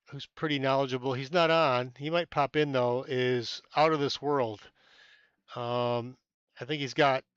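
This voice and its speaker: A man's voice: mid-range voice, a bit bright, clear, resonant tone, dry recording, highs cut off. A man hosting a casual amateur astronomy live stream from inside a small tent, chatting with viewers, thanking them by name, rambling with many fillers, and a little stuffy-nosed.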